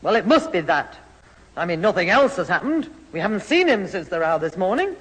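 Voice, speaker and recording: A woman's voice: lowish voice, a little fast, breathy edge. A woman playing an exasperated, scolding mother in a radio sitcom. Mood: puzzled. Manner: scolding, animated. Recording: reverberant old radio studio recording.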